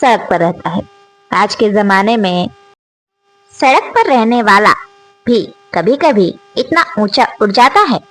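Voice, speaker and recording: Slow earnest woman, lively pitch. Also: low-quality recording. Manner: slow, earnest, questioning, rising on rhetorical questions. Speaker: storyteller and channel host. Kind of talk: reflective moral monologue.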